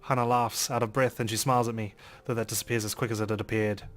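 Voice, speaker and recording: A man's voice: on the low side, level tone, fast, a bit bright, faint music behind. A man reading a visual novel aloud as a let's play host, in dramatic, theatrical first-person narration.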